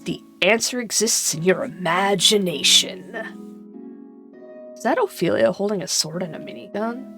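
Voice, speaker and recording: A woman's voice: mid-range voice, lively intonation, normal speed. A woman reading an interactive gamebook aloud, breaking off into chatty, irreverent asides where she swears and laughs at herself. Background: background music.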